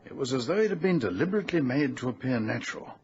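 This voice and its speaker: An actor playing a genial, learned old English gentleman explorer in a radio drama, speaking formally and fatherly. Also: medium pitch, expressive, rapid-fire, lightly acted. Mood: scholarly, expansive. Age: older. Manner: formal, genteel, expository. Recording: muffled lo-fi radio recording with hiss.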